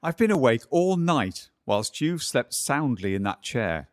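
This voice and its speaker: A man's voice: mid-range voice, big pitch swings, a little slow. An actor in a community radio play, playing a tired, skeptical male scientist who dismisses what others say. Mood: impatient.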